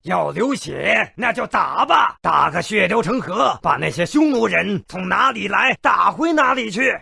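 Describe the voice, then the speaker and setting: An actor playing a sneering, bloodthirsty, cynical gnomish storyteller in a video game, gravelly and raspy, with theatrical sarcastic delivery. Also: male voice, sits high, very animated, a little slow, sharp tone, no echo, studio quality, exaggerated voice. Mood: bloodthirsty glee. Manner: theatrical, sarcastic, gleefully morbid. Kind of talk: theatrical fairy tale narration.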